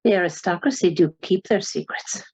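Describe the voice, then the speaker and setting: Husky, shrewd woman questioner. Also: lowish voice, normal speed, darkish tone. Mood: dry, sceptical. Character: shrewd, sceptical formal questioner. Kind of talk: dramatic radio play acting.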